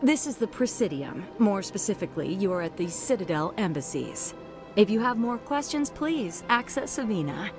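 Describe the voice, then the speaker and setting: A woman's voice: mid-range voice, very animated, normal speed, music in the background. A woman playing a courteous, composed embassy receptionist in a video game, professional and helpful. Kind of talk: video game dialogue acting.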